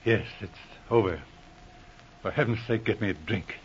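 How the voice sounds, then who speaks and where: Resolute, sharp-witted inspector, authoritative and slightly rough-voiced, vintage radio drama with dull sound. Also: male voice, fairly low pitch, normal speed. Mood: weary, sickened. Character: shrewd, resolute male military police inspector. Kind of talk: 1940s radio drama acting. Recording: muffled old radio recording.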